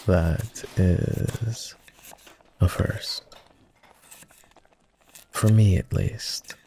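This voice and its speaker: A man voicing a polite, self-deprecating old vampire in an intimate roleplay ASMR style, with formal, hesitant phrasing and a soft, husky delivery. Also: deep voice, slight background noise, exaggerated voice. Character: polite, self-deprecating, gently teasing ancient male vampire.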